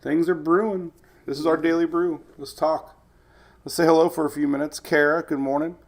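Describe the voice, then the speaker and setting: A man's voice: fairly high pitch, steady tone, a little fast. A man hosting a casual live weather stream, chatty, rambling and jokey, sounding slightly stuffed up with a cold as he greets viewers.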